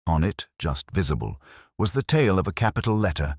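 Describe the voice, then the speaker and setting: A man reading a scripted dramatic story as an audiobook narrator, in a measured, formal, literary manner. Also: sits low, big pitch swings, darkish tone, breathy edge, dry recording, studio quality.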